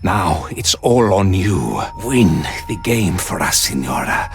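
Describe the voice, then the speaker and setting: An actor playing a gallant, weary jester, courtly and theatrical, in a video game. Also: male voice, sits low, very animated, slow, vocal fry, faint music behind, full character voice. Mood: weary gallantry. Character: gallant, weary trickster jester gambler, protective. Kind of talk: dramatic video game acting.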